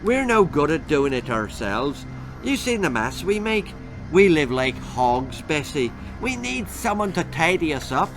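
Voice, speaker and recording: A man's voice: on the high side, very animated, unhurried, music in the background, voice pushed up. A man voicing a sly, scruffy little leprechaun with a folksy Irish brogue in a children's story. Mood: wheedling, cheery.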